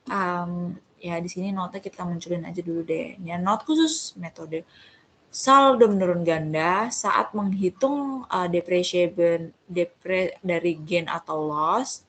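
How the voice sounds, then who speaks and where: A woman's voice: fairly low pitch, takes it slowly. A woman giving an informal step-by-step tutorial as a tutor, conversational and often correcting herself. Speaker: accounting tutor.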